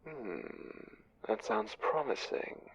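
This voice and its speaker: A conniving male angel who runs spies , acted by a man, low and gravelly in an audio drama. He gives orders with measured condescension and deliberate menace, his delivery swinging widely in pitch. The recording is dull and close. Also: lively intonation, heavily acted. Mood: calculating. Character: conniving, smooth, commanding spymaster angel, male. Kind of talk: audio drama roleplay, one side of a conversation.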